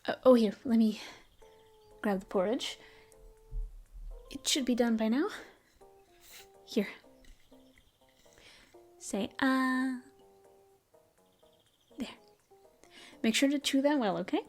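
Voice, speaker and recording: A woman voicing a gentle, caring female elf ranger in an audio roleplay, speaking softly and often in a whisper. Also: on the high side, slow, pauses often, faint music behind. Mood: soothing. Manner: soft, soothing, often whispered. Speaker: voice actress. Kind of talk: audio roleplay, comfort ASMR.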